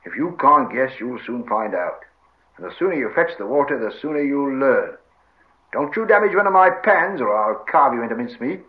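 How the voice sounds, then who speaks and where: Storybook hobbit servant played by a man: gruff, bossy, homely, very lively intonation, slightly muffled sound. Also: mid-range voice, moderate pace, pitched above the performer's own voice, lightly acted. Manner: homely rustic phrasing, scolding. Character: plain-spoken, gruff, practical male hobbit servant, stubborn and bossy.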